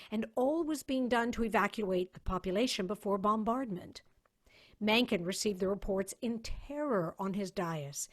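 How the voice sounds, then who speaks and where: Female narrator, medium pitch, for dramatic scripted fiction reading.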